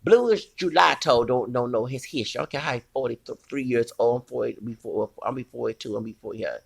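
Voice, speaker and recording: A man's voice: mid-range voice, fast talker, gravelly, husky. A man, ranting in a heated, rambling commentary and scolding his critics with curses. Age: 40s.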